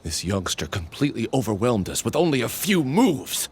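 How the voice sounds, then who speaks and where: A man's voice: mid-range voice, very animated, moderate pace, slight background noise, close to an everyday voice. A man playing an eager junior sword student, theatrical and deferential, in dramatic anime-style video game acting. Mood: dismayed.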